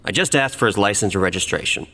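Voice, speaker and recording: Low-voiced policeman testifying, echoing. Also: lively intonation, quick pace, sharp tone, full character voice. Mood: defensive. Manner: formal, self-justifying. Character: defensive male police officer giving court testimony. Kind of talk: scripted courtroom drama testimony.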